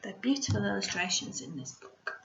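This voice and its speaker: A woman, a primary school teacher, reading a storybook aloud to children in an expressive way, with hushed asides to her listeners. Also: mid-range voice, a little fast, creaky, noisy background. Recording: roomy echo, slightly dull sound.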